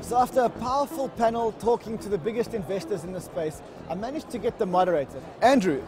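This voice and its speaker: Brief: male TV host, brisk, higher voice, upbeat presenting, music behind. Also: background noise. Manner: energetic, quick questions. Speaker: TV show host and interviewer. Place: outdoors at a conference.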